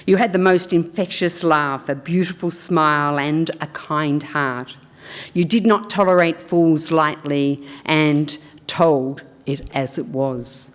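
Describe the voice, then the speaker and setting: A woman acting as funeral celebrant, reading a tribute aloud in a slow, measured, solemn way. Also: sits low. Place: funeral service hall. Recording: long hall reverb.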